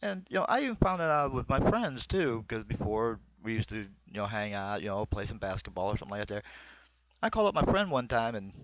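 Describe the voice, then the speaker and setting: A man's voice: fairly low pitch, sing-song, fast, lo-fi sound. A middle-aged man with an American accent tells comic personal stories in a colloquial, rambling, crude-humored way. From small town in northwestern Pennsylvania, United States. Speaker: comedian telling stories. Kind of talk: comic personal storytelling monologue.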